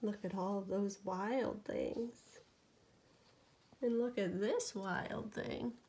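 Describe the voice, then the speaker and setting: A woman's voice: mid-range voice, slow pace, mellow, slight background noise. A woman reading a children's picture book aloud like a teacher, with dramatic storytelling and questions to her listener.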